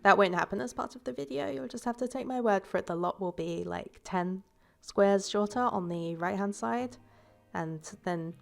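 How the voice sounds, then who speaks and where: A woman's voice: medium pitch, normal speed, creaky. A woman with a stuffy voice from a cold, rambling casually through a voice-over about her build, with fillers and self-corrections. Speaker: game builder and YouTuber. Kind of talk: relaxed voice-over commentary on a building video.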